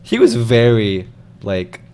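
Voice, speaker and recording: A man giving opinions as a panelist in a casual review chat, with an animated manner. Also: lowish voice, slow, clean tone.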